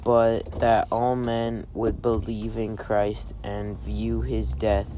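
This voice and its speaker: A man reading scripture aloud in halting phrases, occasionally stumbling over words. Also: fairly low pitch, level tone. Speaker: family scripture reader. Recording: loud vehicle and road noise. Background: car and vehicle noise.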